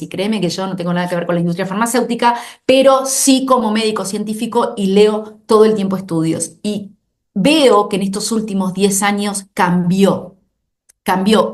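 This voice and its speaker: Argentine woman doctor explaining earnestly. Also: medium pitch. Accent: Argentine Spanish. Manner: explanatory, earnest, with frequent restarts and repeated words. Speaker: obesity specialist doctor, guest. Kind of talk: conversational podcast interview.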